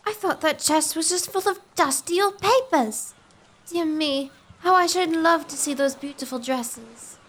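Woman acting a high-voiced, melodramatic young lady. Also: a little slow, a bit bright. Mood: wistful wonder. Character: excitable young woman, melodramatic, teasingly spooky. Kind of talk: gothic comedy audio drama acting.

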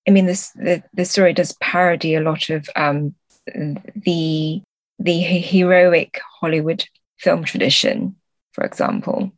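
A woman with a slightly low voice speaks as a translator guesting on a podcast. She explains literary ideas thoughtfully, hesitating as she searches for the right word. The sound is dry, with no room echo. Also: fairly even intonation, a little slow.

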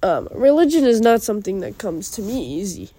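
A young woman with a stuffy cold, speaking in an earnest, halting way. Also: on the high side, animated, dark tone, slightly rough. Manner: earnest, halting, with fillers and restarts. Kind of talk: personal reflection and poetry reading.

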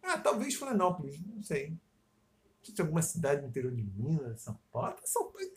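A man's voice: on the high side, huge pitch swings, quick pace. A man in casual podcast chat, rambling, swearing freely, trailing off and restarting. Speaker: podcast co-host.

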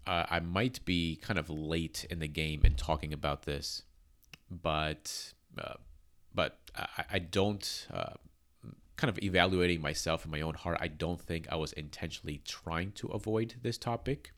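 Very deep-voiced congested pastor. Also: male voice, a bit bright. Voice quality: halting, many fillers and restarts. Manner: earnest, careful, measured. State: congested from allergies. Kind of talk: church podcast talk.

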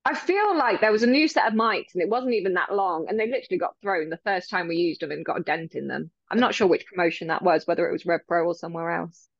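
A woman who works as a ring announcer, chatting in an interview, bubbly and quick to laugh, with a loud voice. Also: lowish voice, no room sound, highs cut off. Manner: bubbly, laughs often, animated retelling. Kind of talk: casual interview chat.

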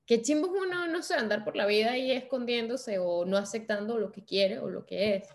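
A woman's voice: a little high, fairly quick. Effusive woman interviewer. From Venezuela. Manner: warm, effusive, laughs easily. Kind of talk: casual video interview.

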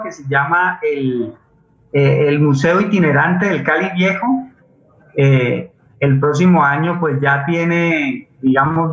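A man with a Colombian accent speaks in a fairly high voice, feeling for his words as he talks informally as a guest. The sound is dull and very roomy, like an internet call. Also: a bit bright. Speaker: guest playwright and community theatre leader. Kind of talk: unscripted forum talk about theatre projects.

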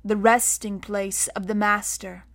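A woman voicing an ancient, solemn guardian spirit that chants slowly and ominously in a storybook narration. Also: mid-range voice, level tone, a bit bright. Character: ancient, dry, many-voiced guardian spirit, solemn and petulant.

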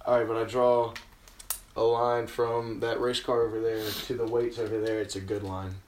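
A man's voice: medium pitch, little pitch movement, moderate pace, a little mellow, recorded from a distance. A teenage boy teasing his friends and explaining a game in a casual podcast chat. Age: teens. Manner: teasing, explains games at length. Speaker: podcast co-host. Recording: echoey room, off-mic, noisy.

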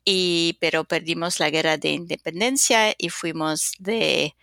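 Slow, gravelly older woman. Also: on the low side, fairly bright tone, no room sound, clean studio sound. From North Africa, then Spain. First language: French. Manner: slow, thoughtful, with many hesitations. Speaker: guest author and consultant. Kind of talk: podcast interview.

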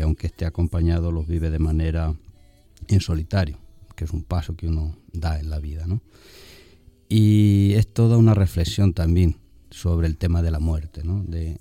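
Deep, very mellow-voiced Spanish priest. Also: male voice, no room sound. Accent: Castilian Spanish, from Spain. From Albacete, La Mancha, Spain. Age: 50s. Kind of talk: reflective conversational interview answers.

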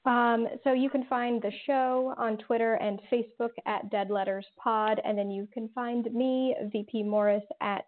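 A woman's voice: a little high, fairly even intonation, moderate pace, few pauses. Phone-sounding woman chatting as a podcast guest. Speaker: podcast guest, audio drama writer. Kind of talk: casual interview chat. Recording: thin phone-like call audio.

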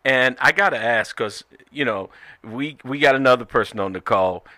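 A man's voice: medium pitch, normal speed, sharp tone, no room sound. A man hosting a talk show, interviewing guests in a conversational style.

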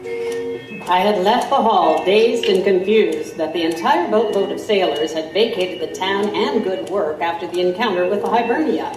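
A woman's voice: medium pitch, normal speed, distant mic, natural voice. A woman playing a wry reporter who narrates her own period investigation in scripted first person. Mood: wry, storytelling. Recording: echoey, off-mic, music behind.

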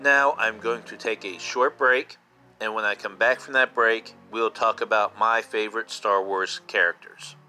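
A man's voice: lowish voice, fairly even intonation, normal speed, sharp tone, soft background music. A man in his fifties hosting a casual solo podcast, chatting like an enthusiastic fan and repeating himself for emphasis. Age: 50s. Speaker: podcast host.

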